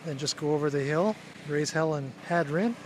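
A man's voice: on the high side, normal speed, background noise. A man casually narrating a travel vlog, wry and chatty, chuckling to himself. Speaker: travel vlogger.

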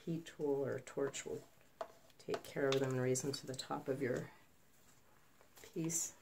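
Snuffly woman with a cold, low gravelly voice, chatting thru a craft demo on her phone. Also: fairly even intonation, measured pace, noisy background. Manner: chatty, self-deprecating asides. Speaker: acrylic pour artist. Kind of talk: casual tutorial chat while working. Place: home craft table, indoors. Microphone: phone camera overhead.

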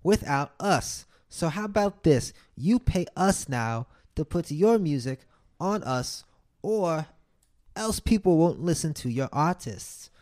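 A man's voice: fairly high pitch, lively intonation, takes it slowly, a little mellow. A young man co-hosting a podcast, chatting loosely and joking, with lots of filler words. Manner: loose and joking, slips into silly put-on voices. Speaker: podcast co-host. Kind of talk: casual chat.

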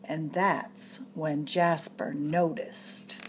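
A woman reading a picture book aloud to children in an expressive, dramatic storyteller's way, drawing out suspenseful phrases. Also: sits low, drawn-out words, a little hiss or hum. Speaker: storytime reader at a public library.

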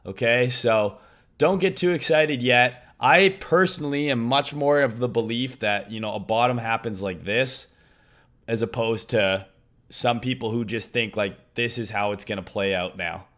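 Young male crypto streamer, hoarse, loud, excitable, casual swearing. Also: medium pitch, moderate pace. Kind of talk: casual live-stream chart commentary.

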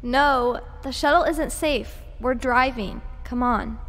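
A woman's voice: on the high side, slow pace, fairly bright tone, in a large hall. A woman in an audio drama playing a cautious, stubborn shuttle engineer, exacting and argumentative. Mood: insistent.

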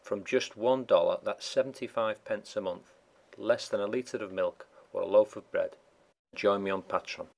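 A man reading a short promotional pitch for a support subscription in a plain, even way. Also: moderate pace, vocal fry. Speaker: channel owner pitching a subscription. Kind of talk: read promotional plug.

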